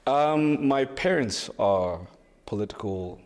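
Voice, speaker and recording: A man from Zimbabwe, a guest entrepreneur, telling his story in a halting, conversational way with many restarts. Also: medium pitch, expressive, darkish tone, big-room sound.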